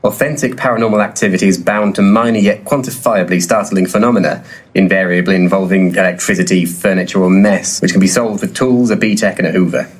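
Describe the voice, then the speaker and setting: Low, bossy ghost investigator. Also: male voice, fairly quick, slightly rough. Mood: brazen. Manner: deadpan, bossy. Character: brash, foul-mouthed, mercenary male ghost investigator. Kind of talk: full-cast comedy audio drama.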